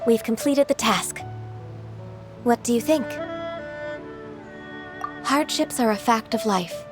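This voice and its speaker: A woman voicing a gentle, composed young half-immortal in a video game, speaking in an earnest, polite, measured way. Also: a little high, over music. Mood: calm, reflective.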